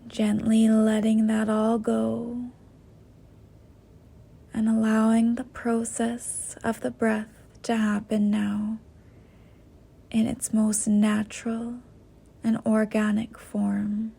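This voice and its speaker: A woman guiding a yoga nidra meditation in a soft, breathy, half-whispered voice, gentle and unhurried with long pauses. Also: a little high, monotone. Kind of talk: guided meditation, slow soothing instruction.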